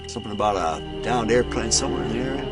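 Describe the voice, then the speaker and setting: A man playing a folksy small-town sheriff in a dramatized 1950s reenactment, questioning witnesses in a hesitant, drawn-out way. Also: mid-range voice, creaky, close to an everyday voice. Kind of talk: dramatized documentary reenactment acting. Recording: music under the dialogue.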